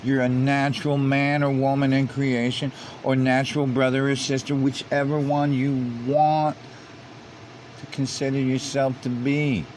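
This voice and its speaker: A man's voice: mid-range voice, little pitch movement, a little slow, a little mellow, slight background noise. A man commenting on online comments, reading them out and repeating himself as he grows heated and emphatic. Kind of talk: casual commentary.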